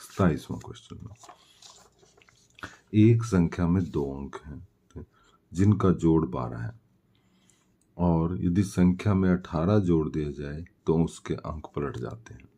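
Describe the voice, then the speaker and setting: Male tutor, slow and mellow delivery, walking through worked solutions with self-answered questions. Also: low voice, husky. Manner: explanatory, poses questions and answers them himself. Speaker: maths teacher. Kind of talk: step-by-step worked-solution lesson.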